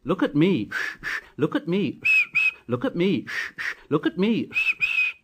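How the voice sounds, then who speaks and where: A man voicing an eager, hardworking little steam engine in a storybook, chanting slowly with puffing shh sounds between the words. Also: high voice, voice pushed up. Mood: chugging cheerful. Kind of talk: storybook reading.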